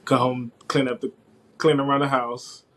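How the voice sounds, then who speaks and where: A man's voice: mid-range voice, little pitch movement, normal speed, a bit bright, slight background noise. A young man from West Africa who later moved to the US, talking casually as a podcast guest in an informal, rambling way that often doubles back on itself. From West Africa (Liberia), later the US from age eleven. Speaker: podcast guest, IT systems administrator. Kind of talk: casual interview chat.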